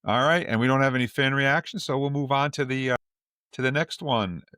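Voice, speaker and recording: A man's voice: mid-range voice, a little fast, sharp tone, clean tone, no echo. Casual male podcast host, dry sound. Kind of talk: casual moderating of a panel.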